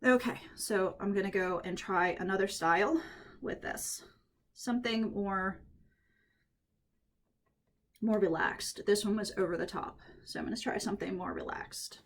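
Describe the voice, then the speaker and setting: A woman at home, a little croaky from allergies, chatting through a head-wrap tutorial and thinking aloud as she works. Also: medium pitch, a little slow, long pauses, a little hiss or hum. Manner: chatty, thinks aloud while working, frequent restarts. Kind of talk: casual hands-on tutorial.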